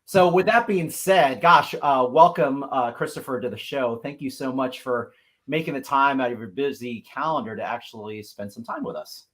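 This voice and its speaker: A man's voice: mid-range voice, normal speed, fairly bright tone. Upbeat American man hosting a livestream. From Pacific Northwest, US. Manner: upbeat, effusive, many fillers. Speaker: host. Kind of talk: livestream talk show hosting.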